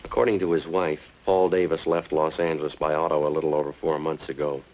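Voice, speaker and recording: A man's voice: extremely low pitch, level tone, fast, darkish tone, lo-fi sound. An actor playing a methodical male police sergeant in a 1950s radio crime drama, clipped, understated and matter-of-fact. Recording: noisy old-time radio recording.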